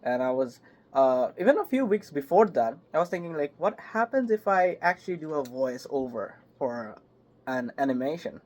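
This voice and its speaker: A young man, a voice actor, chatting casually in an interview, halting and filling with "like" and "yep". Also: fairly high pitch, sing-song, a little mellow. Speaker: voice actor guest. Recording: slightly muffled call audio.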